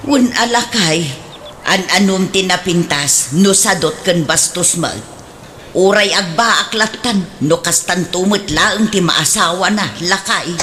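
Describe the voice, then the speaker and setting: A woman's voice: lowish voice, measured pace, noisy background, lightly acted. A woman playing an inquisitive middle-aged mother who fusses over her son in a comedic radio drama, questioning in a conversational way. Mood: motherly, gossipy.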